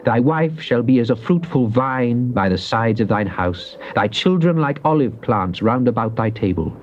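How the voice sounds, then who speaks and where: A man giving a dramatized recitation of psalms, solemn and declamatory, with drawn-out emphasis. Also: mid-range voice, lively intonation. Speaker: scripture reader. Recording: muffled, noisy old cassette tape.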